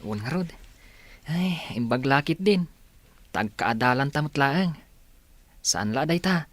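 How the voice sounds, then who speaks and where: A man's voice: fairly high pitch, animated, takes it slowly, a bit bright. A man playing a friendly young male college classmate in a radio drama. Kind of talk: radio drama acting in Ilocano.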